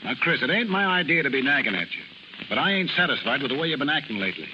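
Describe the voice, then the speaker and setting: Man acting a fast-talking gruff carnival father. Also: mid-range voice, big pitch swings, natural voice. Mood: stern, nagging. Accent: American. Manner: blunt, slangy, folksy. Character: gruff, bossy carnival barker, protective father. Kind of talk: 1930s radio drama acting. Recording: muffled, noisy 1930s radio recording.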